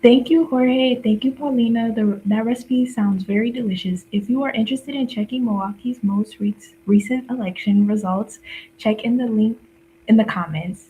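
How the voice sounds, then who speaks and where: Higher-voiced young host reading script. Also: female voice, mellow, a little hiss or hum, poor audio. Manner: reading script, slightly halting. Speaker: youth segment host.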